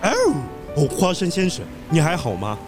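A man playing a polite, fussy gentleman treasure collector in a children's audio drama, with a courteous, slightly hesitant manner. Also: a little high, huge pitch swings, moderate pace, music in the background. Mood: worried.

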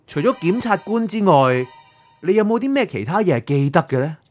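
A man's voice: sits high, very animated, a little fast, soft background music. A man with a Hong Kong Cantonese accent playing a curious, chatty young man in an audio drama. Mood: curious, probing. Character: curious, chatty young man probing a soul prosecutor's past.

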